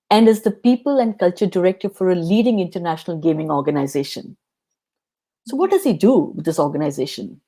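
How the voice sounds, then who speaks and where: A woman hosting an interview show, opening with a scripted introduction and then asking her guest measured, earnest questions. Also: mid-range voice, warm tone. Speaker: podcast host.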